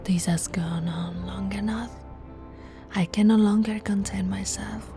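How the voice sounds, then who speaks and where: A woman voicing a possessive, seductive vampire royal in an ASMR roleplay, teasing and often slipping into breathy whispers. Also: medium pitch, level tone, faint music behind. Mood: hungry, seductive. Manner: slow, teasing, breathy whispering with soft laughs.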